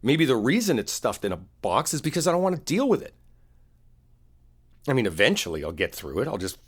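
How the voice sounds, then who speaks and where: A man playing a frustrated, wounded boyfriend in a one-sided audio roleplay, talking in a naturalistic, halting way with a husky voice. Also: mid-range voice, very animated. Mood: exasperated, defensive. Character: frustrated, wounded boyfriend arguing with his partner.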